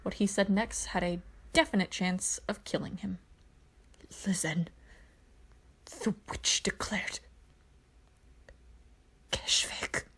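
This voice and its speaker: A woman reading scripted story narration as the narrator of fan fiction. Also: medium pitch. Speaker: podfic narrator.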